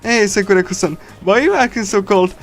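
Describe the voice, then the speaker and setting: A man with a slightly high voice talks casually on a livestream as he reads through a visual novel. He speaks haltingly, like a giggly, chatty fan, with soft game soundtrack music playing behind him. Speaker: streamer reading a visual novel. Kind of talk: casual livestream chat with loose readthrough.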